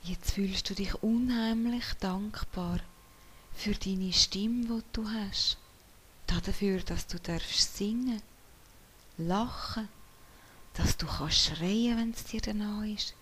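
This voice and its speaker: A woman whispering softly as she leads a guided meditation in a slow, soothing way. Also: mid-range voice, husky. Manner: soft whispering.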